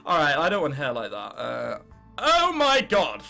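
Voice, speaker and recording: A man's voice: on the high side, huge pitch swings, unhurried, vocal fry, pushed and bright, faint music behind. A 19-year-old man with an English accent doing casual, sarcastic game commentary with mock bravado, sometimes reading on-screen text aloud. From England. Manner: sarcastic, self-mocking bravado, casual swearing. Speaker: gaming video host.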